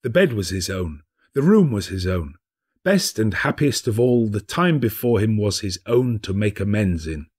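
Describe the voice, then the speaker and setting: A man narrating a classic story as an audiobook reader, in a theatrical, expressive and dramatic literary style. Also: on the low side, moderate pace, breathy edge.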